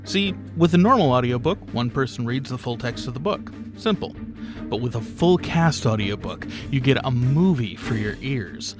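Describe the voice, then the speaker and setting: Emphatic man pitching over music. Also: animated, normal speed. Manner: emphatic, dramatic, conversational. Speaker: audio producer pitching a project. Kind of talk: enthusiastic crowdfunding promo narration. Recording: music bed behind voice. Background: background music.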